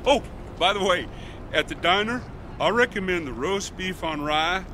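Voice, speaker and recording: A sly, teasing stranger. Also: male voice, sits high, expressive, moderate pace, sharp tone, a little hiss or hum. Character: wisecracking, sinister male stranger on the street. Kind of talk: 1960s-style radio drama acting.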